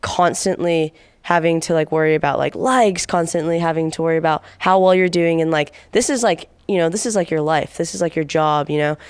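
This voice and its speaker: Woman, deep, clear, dry, rambling. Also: brisk, few pauses, no echo. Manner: rambling, many fillers. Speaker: guest content creator. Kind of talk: casual interview.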